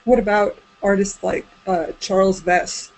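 A woman's voice: mid-range voice, steady tone, a little slow, darkish tone, a little hiss or hum, low-quality recording. A woman in her forties casually interviewing a guest over a video call from a room at home. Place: small indoor room at home. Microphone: computer mic on a video call.

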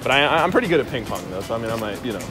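A man's voice: mid-range voice, sing-song, rapid-fire. A young man, a college athlete being interviewed, chatting casually while walking outdoors on a campus. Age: young adult. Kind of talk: casual chat. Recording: music over outdoor campus recording.

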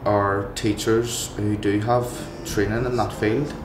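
Low-voiced young Belfast man, casual. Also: level tone, slow pace, heavy background noise. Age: young adult. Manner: casual, with fillers like "you know". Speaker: interviewee. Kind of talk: interview. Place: indoor youth centre room. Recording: echoey room with music underneath.

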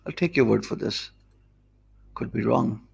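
A man in his forties with a Pakistani English accent, talking deliberately slowly and clearly so every viewer can follow. His voice is mid-pitched with a gravelly edge, and he thinks aloud in a loose, conversational way. He speaks into a desk mic in an indoor office. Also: darkish tone. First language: Urdu. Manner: deliberately slow, easy speech. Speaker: online course instructor. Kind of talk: live stream talk to viewers. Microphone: desk mic in front of him.

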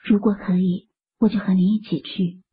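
Woman playing a composed young wife, breathy, muffled. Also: medium pitch, steady tone, normal speed. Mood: gentle. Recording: muffled, phone-like, lo-fi audio.

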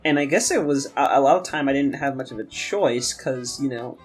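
A young man chatting casually and rambling, often halting and repeating his words. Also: medium pitch, slightly rough. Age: young adult. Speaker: podcast guest and game maker. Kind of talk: casual chat. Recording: music playing under the talk. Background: guitar music.

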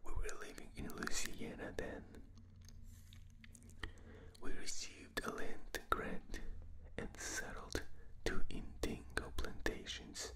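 A man with a non-native English accent whispering a book aloud for binaural ASMR, reading with small slips and restarts, recorded in a dark room at night. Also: slow, pauses often. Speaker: reader. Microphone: binaural microphone.

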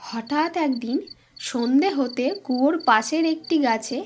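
A woman's voice: high voice, measured pace, a little hiss or hum. A woman giving scripted story narration for a children's cartoon.

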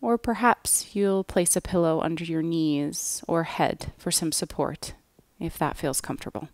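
A woman leads a guided relaxation at a very slow, unhurried pace. Her voice sits on the low side and her phrases end softly. The sound is close and dry, with very little room around it. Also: no room sound. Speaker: yoga nidra guide. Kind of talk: guided relaxation meditation, scripted.

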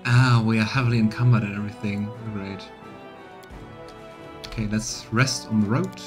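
A man's voice: lowish voice, fairly even intonation, moderate pace. A man in his thirties gives let's play commentary on a game. He moves between his own remarks and reading dialogue aloud. Some game music plays behind his voice. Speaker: streamer playing a game.

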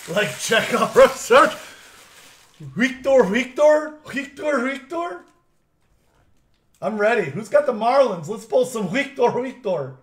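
A man's voice: very high voice, sing-song. A young man hosting a live trading card break, joking with viewers over player names and calling out pulls. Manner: playful, joking with viewers over name pronunciations; drawn-out hype calls of big pulls. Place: indoor studio at a table.

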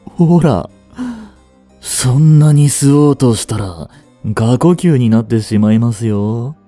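音声ドラマで、丁寧な口調で感情の激しい、執着に狂っていく若い執事を演じる男性。. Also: male voice, medium pitch, lively intonation, slow pace, dark tone, no room sound, faint music behind. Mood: menacing, obsessive. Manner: formal, polite servant speech, intensely emotional. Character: devoted young male butler turning obsessive and unhinged. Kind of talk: Japanese romantic situation voice drama.

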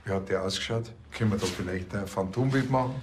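A man's voice: on the low side, fast, noisy background, close to an everyday voice. An actor playing a casual, bossy village policeman with a Bavarian accent. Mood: businesslike. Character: blunt, informal rural Bavarian policeman.

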